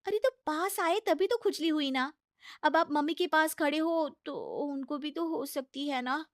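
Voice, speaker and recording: A woman's voice: high voice, normal speed, no echo, studio quality, pitched above the performer's own voice, lightly acted. A woman playing an exasperated, cheeky young daughter-in-law in an acted comic family story, insistent and pleading. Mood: sly teasing.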